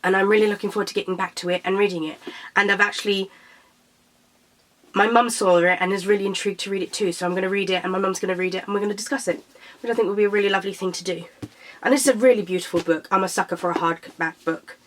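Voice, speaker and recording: A young woman from Britain, a little croaky after being sick, chatting casually to camera about books and tripping over her words. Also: medium pitch, steady tone, quick pace. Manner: chatty, self-correcting, stumbles over titles. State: slightly croaky from illness. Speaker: book vlogger.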